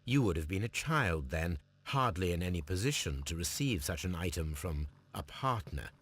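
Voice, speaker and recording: A man playing a composed detective in a video game, probing in a measured, formal and coolly inquisitive manner. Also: low voice, big pitch swings, fairly bright tone, breathy edge, exaggerated voice. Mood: cool interrogation. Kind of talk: dramatic video game acting.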